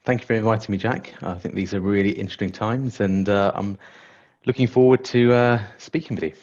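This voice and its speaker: A man answers interview questions in a careful, measured way. His voice is lowish, and he leans on "uh" and "you know" as he thinks. The sound is dull and lo-fi, like a remote call. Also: darkish tone. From England. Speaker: interview guest, hospital clinical leader.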